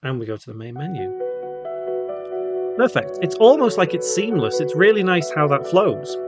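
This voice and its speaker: A man hosting an informal step-by-step software tutorial, thinking aloud as he works through it. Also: fairly high pitch, big pitch swings, normal speed, over loud music. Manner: thinks aloud while working, spelling out code symbol by symbol. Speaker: tutorial host, game development instructor.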